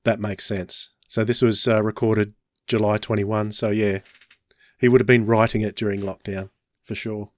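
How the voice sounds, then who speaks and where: Podcast host: Australian male, lowish voice, casual and halting delivery, close dry sound. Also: level tone, no room sound. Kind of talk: casual chat.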